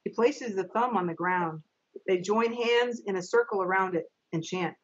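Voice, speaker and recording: A middle-aged woman reads stage directions as a narrator. Her voice is husky and mid-pitched, on slightly dull video-call audio from a home office. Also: normal speed. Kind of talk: reading stage directions aloud.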